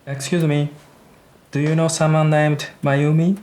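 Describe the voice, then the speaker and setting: A man's voice: fairly high pitch, flat delivery, unhurried, noisy background, lightly acted. A man acting an earnest young man longing for his sweetheart in a radio drama, with urgent questioning delivery. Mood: searching, polite.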